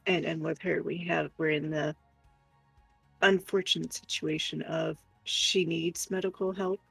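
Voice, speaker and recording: Woman, husky creaky voice, frank matter-of-fact delivery, casual co-host chat, close dry sound, faint music. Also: deep voice, steady tone, a little slow, fairly bright tone, no room sound, rough recording. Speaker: co-host reading chat messages and commenting.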